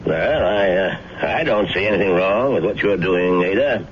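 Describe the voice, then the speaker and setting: An actor playing an easygoing, folksy family doctor in a radio drama. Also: male voice, deep voice, animated, moderate pace, crowd noise. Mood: friendly. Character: genial small-town male family doctor. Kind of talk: 1970s radio drama acting. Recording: dull old radio broadcast with hiss.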